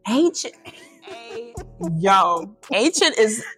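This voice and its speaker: Young woman podcast host, bubbly and slangy, hypes her guest, mid-pitched voice, faint music underneath. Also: big pitch swings, drawn-out words, fairly bright tone. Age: 20s. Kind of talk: upbeat casual podcast chat.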